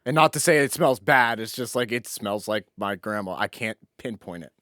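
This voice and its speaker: Man, deep pitch, dry close recording, podcast co-host, casual conversation, informal explanatory delivery. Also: expressive, normal speed, sharp tone, dry recording.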